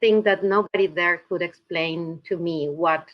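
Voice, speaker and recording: A woman's voice: lowish voice, fairly even intonation, a little slow, dull audio. A woman, speaking remotely as a guest in a podcast interview, thoughtful and often restarting as she searches for words. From Peru. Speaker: guest climate researcher. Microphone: video call.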